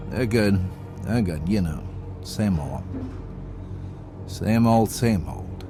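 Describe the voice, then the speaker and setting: An actor playing an uneasy agent in an audio drama, hesitant and awkwardly affable. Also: male voice, lowish voice, expressive, takes it slowly. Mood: uneasy, evasive. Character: uneasy male agent forcing friendly small talk. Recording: music behind the voice.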